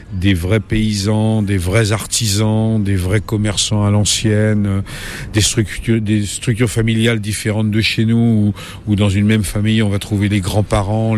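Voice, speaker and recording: A man in his late sixties, a travel reporter, answering interview questions in a relaxed way, pausing often with fillers and restarting his sentences. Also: fairly low pitch, slight background noise. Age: 60s. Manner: hesitant, many fillers and restarts.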